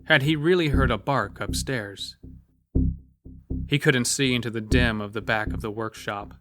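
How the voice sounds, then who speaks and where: Male narrator for audio horror anthology, medium pitch, scripted prose delivery over subtle music. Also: no echo. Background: soft music bed.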